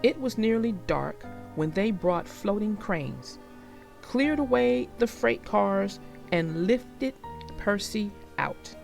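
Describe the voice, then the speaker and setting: Slow, gravelly-voiced woman storyteller. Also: mid-range voice, lively intonation, soft background music. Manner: gentle, reflective, explains morals. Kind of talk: children's read-aloud and lesson talk.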